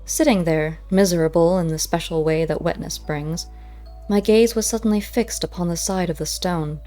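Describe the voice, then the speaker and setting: A woman narrating a first-person story as an audiobook, in measured, literary storytelling. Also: on the low side, mellow, soft background music.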